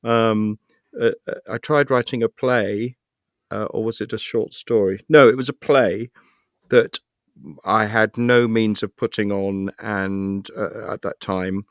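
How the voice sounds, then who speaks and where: A man's voice: on the low side, fairly even intonation, unhurried, no room sound, studio quality. A middle-aged British man chatting casually as an interview guest, self-deprecating and thinking aloud as he rambles. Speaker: guest, actor and writer.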